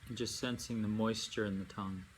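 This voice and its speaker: A man leading a guided meditation as a yoga nidra teacher, speaking in unhurried phrases with long pauses and gentle instruction. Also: fairly low pitch, steady tone. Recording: amateur recording with faint background noise.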